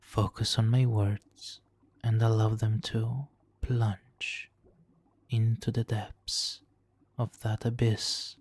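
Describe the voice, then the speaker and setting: A man playing a smug, seductive supervillain hypnotist in an ASMR roleplay, speaking softly and theatrically with lapses into whispers. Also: on the low side, flat delivery, takes it slowly. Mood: pleased, hypnotic.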